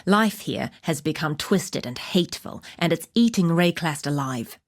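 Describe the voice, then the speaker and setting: Casting: a game's wilderness huntress, slightly low register, purposeful, wry and matter-of-fact. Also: female voice, lively intonation, unhurried, heavily acted. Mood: grim, determined. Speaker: game character voice actor. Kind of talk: video game quest-giver dialogue.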